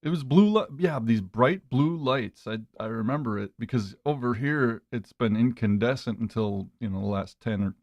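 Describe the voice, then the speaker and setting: A man's voice: medium pitch, lively intonation, normal speed, hardly any pauses, a little mellow, no room sound. A man chatting casually as a guest on a live radio talk show. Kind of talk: casual chat. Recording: dull, dry, band-limited call audio.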